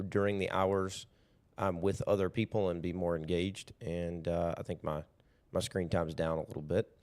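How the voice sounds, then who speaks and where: A man hosting a podcast, talking casually with a hoarse, raspy voice and many fillers. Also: low-pitched, a little mellow. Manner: easygoing, rambling, many fillers. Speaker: podcast host. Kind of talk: casual motivational talk.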